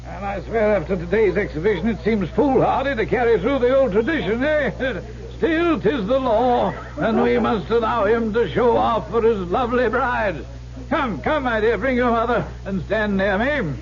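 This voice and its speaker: A hearty, blustering Duke in old radio drama style. The voice sits remarkably high and is full of pompous, jovial exclamation. The recording is muffled and dated. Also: male voice, animated, moderate pace.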